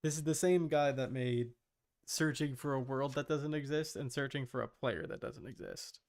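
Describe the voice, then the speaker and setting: A man's voice: mid-range voice, normal speed, vocal fry. A man chatting casually as a streamer, reacting to a video. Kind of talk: casual livestream chat.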